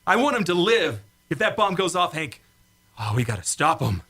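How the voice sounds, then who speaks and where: An amateur actor playing a frantic young test pilot in a radio play, urgent and pleading. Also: male voice, on the high side, very animated, normal speed, sharp tone, slightly rough, exaggerated voice. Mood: pleading, desperate.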